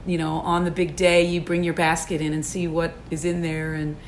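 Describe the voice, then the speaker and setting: A woman's voice: on the low side, little pitch movement, moderate pace, a little hiss or hum. A woman chatting casually and enthusiastically about a story as its co-host and author. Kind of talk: casual chat commentary.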